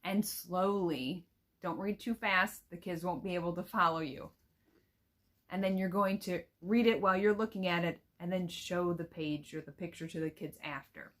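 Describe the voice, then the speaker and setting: A woman with a fairly low voice speaks as a reading teacher. She explains how to read aloud in an instructional way, adding small check-in questions as she goes. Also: moderate pace, a bit bright. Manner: explanatory, checks understanding with "right?". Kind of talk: instructional talk with storybook reading examples.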